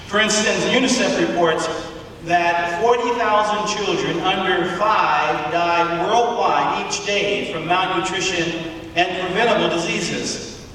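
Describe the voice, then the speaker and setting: A man delivering a sermon, speaking emphatically and deliberately with rhetorical questions and pauses in a large auditorium. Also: high-pitched, bright, forceful tone. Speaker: preacher giving a public address. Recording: echoey hall from far off, faint noise.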